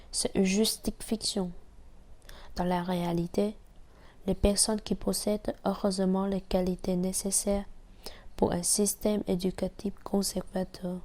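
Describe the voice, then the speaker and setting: A young woman with a Vietnamese accent reads a personal essay in French, taking her time over each phrase. Her voice is mellow and soft-edged, and her pitch stays level with little rise and fall. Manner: slow, even, measured reading. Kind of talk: reading a personal essay aloud.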